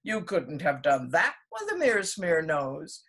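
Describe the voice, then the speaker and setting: A woman voicing a wise, teasing rock snake in a children's storybook, speaking in a measured, instructive way. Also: low-pitched, huge pitch swings, a bit bright, exaggerated voice. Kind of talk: children's storybook read aloud.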